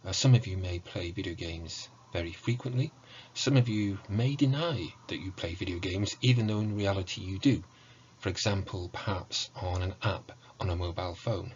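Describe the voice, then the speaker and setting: A middle-aged man giving a prepared online lecture in a measured, formal, explanatory way. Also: low voice, a little hiss or hum. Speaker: university lecturer in music. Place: small indoor room with bookshelves. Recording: muffled, dull online recording.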